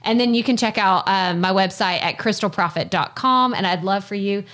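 A loud woman with a strong Texas accent talks in a casual, folksy way as a podcast guest. Her voice sits at a middle pitch and she chats with plenty of enthusiasm. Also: normal speed. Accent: Texan. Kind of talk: casual interview chat.

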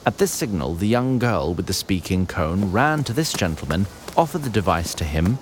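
A man's voice: sits low, expressive, moderate pace. A man reading scripted fantasy narration in a literary storytelling manner. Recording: noisy background ambience.

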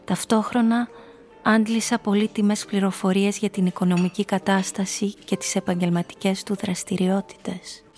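Woman playing a cool seductress narrator, fairly even-toned. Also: medium pitch, soft background music, lightly acted. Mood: watchful, calculating. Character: seductive, cool, calculating woman narrating her own hunt. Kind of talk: first-person radio drama narration.

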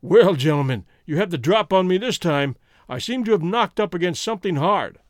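A fierce American criminal in a storybook, acted by a male reader, defiant and lovesick. The voice is pitched high and swings widely, bitter and emphatic, in a dry close recording. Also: animated, brisk, no echo.

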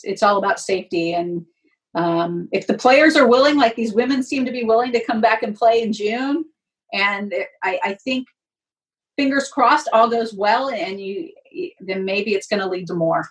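A woman's voice: mid-range voice, unhurried. A woman speaking candidly and emphatically as a guest in a casual interview; she works as a sports announcer. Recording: voice distant from the mic.

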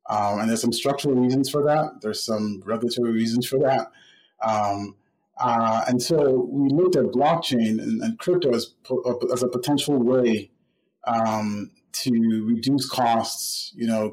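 A middle-aged man from Nigeria answering interview questions in a relaxed, measured way with frequent pauses. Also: mid-range voice, poor audio. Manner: relaxed, measured, many ums. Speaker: podcast guest.

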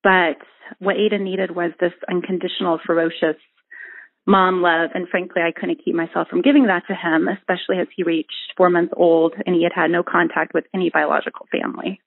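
A woman reading a personal essay about adopting her son, in an earnest and measured way. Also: lowish voice, level tone, creaky. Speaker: mother telling an adoption story. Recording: tinny phone-like audio.

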